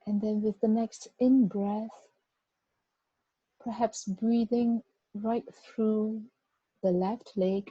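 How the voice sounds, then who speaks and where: A woman guiding a body scan meditation, giving gentle, unhurried instructions with long pauses. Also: medium pitch, steady tone, husky, no room sound. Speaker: meditation guide. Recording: dull, muffled lo-fi recording.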